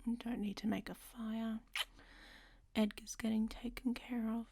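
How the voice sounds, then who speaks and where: A woman's voice: a little high, flat delivery, measured pace, vocal fry, dark tone, slightly rough, heavily acted. A woman playing a worldly, flirtatious traveler in an audio roleplay, speaking to the listener in a teasing, often half-whispered way.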